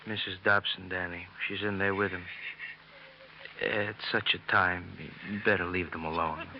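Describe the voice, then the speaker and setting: An actor playing a grave, kindly police doctor in a mid-century radio crime drama, speaking haltingly and solemnly. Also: male voice, sits low, fairly even intonation. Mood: grave, sympathetic. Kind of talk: 1950s radio drama acting. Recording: muffled, slightly noisy old radio recording.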